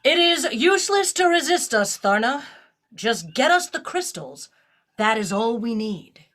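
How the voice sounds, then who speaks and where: Woman acting high-voiced, animated, commanding starship captain. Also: measured pace. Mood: stern, defiant. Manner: crisp, imperious, clipped orders. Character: commanding female starship captain, authoritative, guarded. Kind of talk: audio drama acting.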